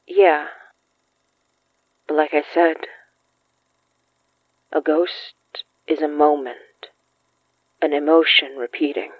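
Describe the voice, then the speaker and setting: A slow, earnest ghost summoner, phone-like sound. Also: female voice, sits low, fairly even intonation, no echo. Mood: gentle. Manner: halting, earnest, reassuring. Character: gentle, earnest young ghost summoner with a sorrowful past. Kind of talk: intimate audio drama acting. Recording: thin, phone-like band-limited sound.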